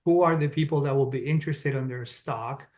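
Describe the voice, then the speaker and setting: A middle-aged man from Colombia with a Colombian Spanish accent, a guest in an interview, explaining things in a steady, businesslike way and laying out his points in order. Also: mid-range voice, brisk. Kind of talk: interview answers, explaining step by step.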